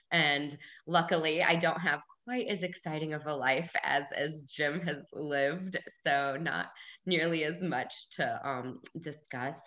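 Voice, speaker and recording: A woman's voice: sits low, a bit bright. A woman in her thirties from Bulgaria, guest speaker on a video call, talking earnestly with lots of fillers. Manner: earnest, conversational, many fillers. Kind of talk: casual talk on a video call. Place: room at home, indoors. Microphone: video call webcam.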